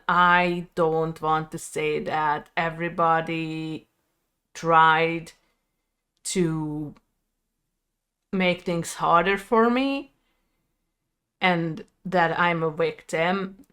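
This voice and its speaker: Video creator, female, thirties, Hungarian accent. Unhurried, smooth delivery for reflective personal storytelling. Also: lowish voice, a bit bright. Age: 30s.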